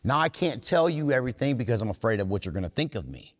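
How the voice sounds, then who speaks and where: A man's voice: mid-range voice, big pitch swings, fast. A man giving a candid, plain-spoken talk to a recovery group, driving home his points by repeating and rephrasing them. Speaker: workshop speaker at a recovery meeting. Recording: reverberant hall.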